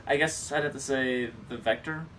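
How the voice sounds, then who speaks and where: A man's voice: mid-range voice, steady tone, normal speed, fairly bright tone. An American man chatting casually as a podcast co-host. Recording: distant mic, background noise.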